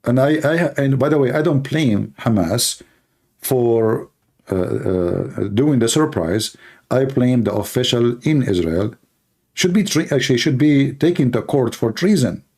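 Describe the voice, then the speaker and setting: A man who grew up in the Middle East speaks with the accent of a native Arabic speaker. His voice is dark and relaxed, at an ordinary male pitch. He talks off the cuff to live stream viewers in a blunt, direct way. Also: unhurried, slightly rough. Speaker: live stream host, religious apologist. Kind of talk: informal live stream talk.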